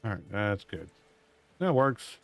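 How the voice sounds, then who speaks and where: A man, streaming a game and muttering to himself in a drawling, self-mocking way, his voice going hoarse. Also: lowish voice, sing-song, takes it slowly, faint music behind. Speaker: gaming streamer. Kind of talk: casual gameplay commentary.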